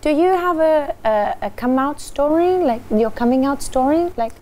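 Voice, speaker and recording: High-voiced woman host. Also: expressive, moderate pace, mellow, slight background noise. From South Africa. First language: Afrikaans. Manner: hesitant, filler-heavy questions. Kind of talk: casual interview.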